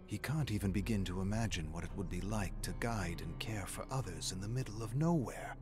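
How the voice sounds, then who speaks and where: Male narrator, lower register, dramatic scripted storytelling, faint music bed. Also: a little fast. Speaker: story reader. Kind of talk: dramatic scripted narration with acted inner dialogue. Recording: music behind the voice.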